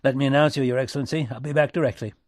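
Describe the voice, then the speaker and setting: A man's voice: medium pitch, steady tone, rapid-fire, darkish tone, dry recording, studio quality, pitched above the performer's own voice, close to an everyday voice. A man playing a dutiful young male presidential secretary in an audiobook, clipped and deferential. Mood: brisk, dutiful.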